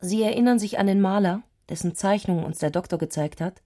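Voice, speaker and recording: A woman's voice: medium pitch, fast talker, dark tone, natural voice. An actress playing an intense, headstrong and eccentric young woman in a radio play, speaking in an earnest, insistent manner.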